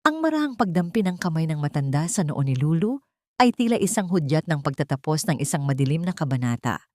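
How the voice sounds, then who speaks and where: A female audiobook narrator with a fairly low voice. She reads dramatic fiction in solemn, deliberate phrases. The recording is dry, with very little room sound. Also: very animated, a little mellow, no room sound. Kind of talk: dramatic scripted narration of a love story.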